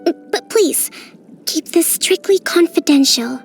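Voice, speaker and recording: Game character: a composed young woman priestess-tactician, high and gentle, with faint music underneath. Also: lively intonation, takes it slowly, creaky, heavily acted. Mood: cheerful. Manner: gentle, composed, softly playful. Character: young female island priestess and strategist, calm and composed. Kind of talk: game voice lines.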